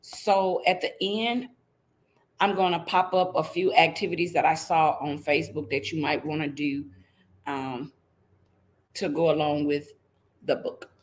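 A woman's voice: low-pitched, fairly even intonation, measured pace, slight background noise. A middle-aged woman reading a picture book aloud to young children, teacherly and interactive, checking in with her listeners. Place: indoors in front of a green screen.

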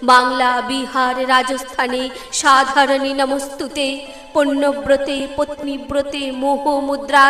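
A woman's voice: high-pitched, sharp tone. A woman giving a dramatic poetry recitation in a declamatory, emphatic and rhythmic way. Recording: echoey hall reverb with music behind. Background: music.

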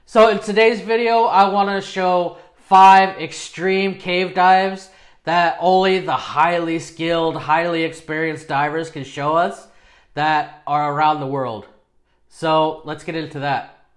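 Casual male video presenter, thirties, slightly high voice, informal and self-deprecating, small home studio. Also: level tone, slow. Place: small home studio with acoustic foam, indoors.

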